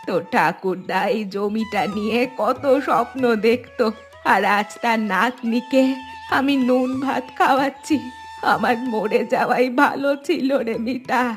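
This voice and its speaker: Cartoon granny, worn down and lamenting, voiced by a woman, soft music under. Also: on the high side, unhurried, natural voice. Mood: despairing. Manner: lamenting, weary. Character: poor, worried old grandmother.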